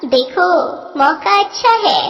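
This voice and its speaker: A woman's voice: very high-pitched, lively intonation, takes it slowly, fairly bright tone, muffled recording, pitched above the performer's own voice, heavily acted. A woman voicing a greedy old maidservant mother in a story, sly, bitter and coaxing. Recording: long reverb, noisy track.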